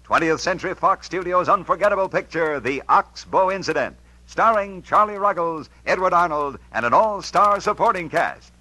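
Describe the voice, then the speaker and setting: A man's voice: high voice, animated, moderate pace, sharp tone, dry recording, rough recording. An American man announcing on 1950s radio in a polished, emphatic, scripted promotional style.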